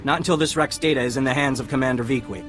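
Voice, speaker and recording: An actor playing an urgent Republic field officer in a video game, with clipped military delivery and music behind. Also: male voice, medium pitch, racing, natural voice. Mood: grateful, businesslike. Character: male Republic soldier, urgent field officer, brisk and grateful.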